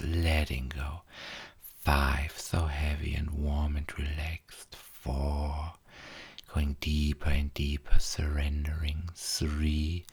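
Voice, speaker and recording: A man guiding a hypnosis induction in a soft, repetitive way, often dropping to a whisper. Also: extremely low pitch, breathy edge.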